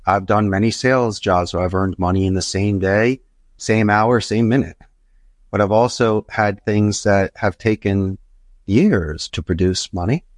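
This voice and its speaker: A middle-aged man, a guest entrepreneur giving emphatic, preachy advice in a podcast interview, his pitch jumping up for emphasis. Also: a little slow, no echo, studio quality. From Northeast Philadelphia, USA.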